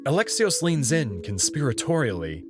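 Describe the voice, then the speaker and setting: A man with a mid-range voice narrates a first-person story. His intonation is highly varied as he shifts between description and dialogue, and music plays clearly behind him. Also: sing-song, normal speed. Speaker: narrator and player reader.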